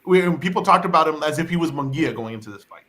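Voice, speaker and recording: A man's voice: on the high side, animated, quick pace, sharp tone. A man on a sports show panel, chatting casually and giving his analysis.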